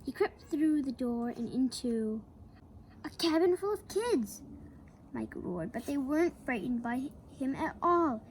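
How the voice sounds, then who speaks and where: A woman reading a children's picture book aloud, slowly and with playful asides to the young listener. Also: sits high, lively intonation, darkish tone, a little hiss or hum, poor audio. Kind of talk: read-aloud storytelling for kids.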